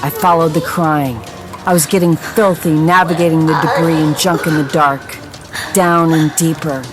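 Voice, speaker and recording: An actress playing a tough, protective police detective in a hard-boiled audio drama. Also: female voice, low-pitched, steady tone, normal speed, over music, natural voice. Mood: brooding.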